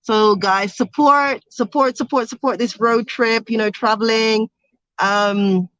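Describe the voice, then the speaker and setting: A woman's voice: on the high side, steady tone, unhurried, a bit bright, dry recording, highs cut off. Young woman chatting live from a car. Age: young adult. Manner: chatty, reads viewer comments aloud. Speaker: vlogger. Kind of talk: casual livestream chat. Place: inside a car on a road trip.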